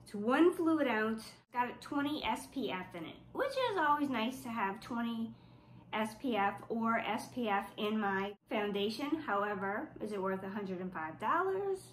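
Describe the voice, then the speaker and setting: A woman's voice: a little high, animated, moderate pace, reverberant, slight background noise, low-quality recording. Stuffy-voiced woman reviewing makeup. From South Louisiana. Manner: wry, skeptical, reading product claims aloud. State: stuffy from flu. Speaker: beauty vlogger. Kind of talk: casual product review.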